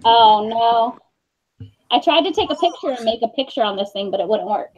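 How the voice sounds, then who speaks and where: A woman's voice: on the high side, animated, moderate pace. A woman chatting casually as book club host, speaking from home over a video call. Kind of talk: casual chat. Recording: dull lo-fi call, faint noise, clicks.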